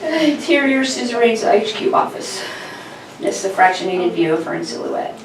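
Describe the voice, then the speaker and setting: A woman's voice: fairly high pitch, expressive, moderate pace. A woman reading stage directions as narrator at a table read of a science fiction script. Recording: echoey room, distant mic, noisy background.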